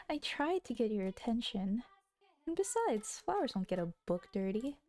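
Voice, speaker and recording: A teasing little sister. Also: female voice, a little high, very animated, a little slow, a little mellow. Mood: playful. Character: young girl, playful pouting little sister.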